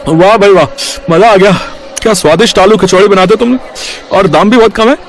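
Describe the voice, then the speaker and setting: A man's voice: high voice, a little fast. A man voicing a cheerful, grateful railway porter who talks effusively. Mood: delighted. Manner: exclaiming, effusive.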